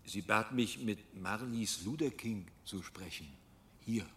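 A low-voiced, bewildered older gentleman. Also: slow pace, slight background noise. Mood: puzzled. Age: 60s. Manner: hesitant, courteous. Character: polite, bewildered man nearing retirement, gently awkward. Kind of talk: German radio play acting.

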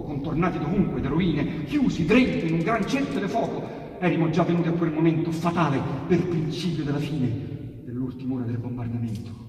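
A man reciting verse dramatically, moving between loud cries and hushed whispers. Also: mid-range voice, slight background noise. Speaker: reciter of dialect poetry. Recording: echoey hall, distant mic, broadcast on radio. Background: piano accompaniment.

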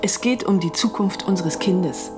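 A woman's voice: medium pitch, moderate pace, over music, lightly acted. An actress playing a controlled, insistent middle-aged wife and mother in an intimate radio drama. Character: Claire, coolly determined, manipulative wife and mother.

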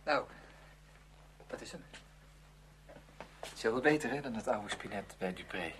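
A man's voice: mid-range voice, lively intonation, measured pace, natural voice. A man playing a friendly, easygoing teenage schoolmate with a casual manner in a radio play. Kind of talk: Dutch radio play acting. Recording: humming, noisy radio drama recording.

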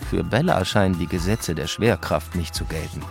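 An actor playing a disillusioned police officer who narrates an audio drama in a laconic, colloquial way. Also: male voice, deep voice, normal speed, gravelly. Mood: wry admiration. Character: disillusioned male police officer, wryly admiring storyteller. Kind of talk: scripted first-person narration, audio drama. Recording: music behind the voice.

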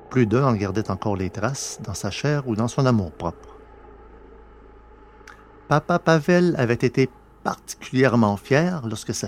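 A man's voice: fairly low pitch, a little mellow. A man reading scripted story narration in the role of narrator.

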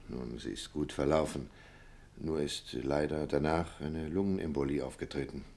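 Grave male physician, extremely deep voice, measured, formal, reassuring, radio play. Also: gravelly, a little mellow. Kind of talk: German radio play acting.

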